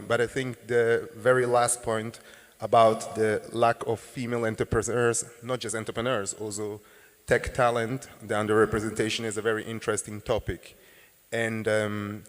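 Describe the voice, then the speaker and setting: A man moderating a conference panel, politely handing over and asking a measured question. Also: mid-range voice. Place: conference hall. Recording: echoey hall.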